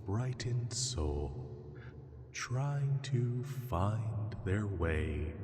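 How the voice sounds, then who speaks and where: A man voicing a huge ancient dragon, calm, protective and gently possessive, speaking in hushed, lordly, soothing tones for a sleep-aid audio roleplay. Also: lowish voice, exaggerated voice. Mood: calm, musing. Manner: unhurried, hushed, soothing, lordly formal phrasing. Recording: long cavernous reverb.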